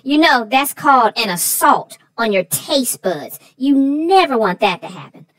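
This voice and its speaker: A woman voicing a sassy, folksy female sidekick who chats theatrically about a children's story with drawn-out exclamations. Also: on the high side, big pitch swings, a bit bright, no room sound, low-quality recording. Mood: sassy, amused. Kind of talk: bantering discussion of a children's story.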